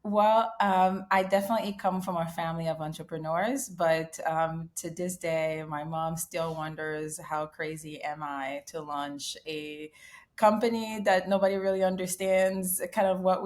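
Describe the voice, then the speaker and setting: A woman's voice: on the low side, level tone, a little slow, a little mellow. Woman founder from Haiti, reflective. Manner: reflective, many ums and restarts. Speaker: startup founder and CEO, guest. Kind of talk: casual interview conversation.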